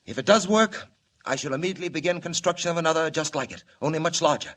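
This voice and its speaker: An actor playing an earnest, urgent alien scientist in grave, theatrical 1940s radio style. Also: male voice, on the high side, fast talker, a bit bright. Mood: earnest, explaining. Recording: old 1940 radio recording, echoey in places.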